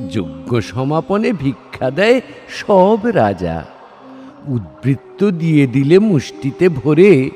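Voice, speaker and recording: A man's voice: high-pitched, exaggerated voice. A man playing a crafty god disguised as a begging Brahmin, goading in formal dramatic verse. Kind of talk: dramatic Bengali verse recitation. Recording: studio voice over soft music.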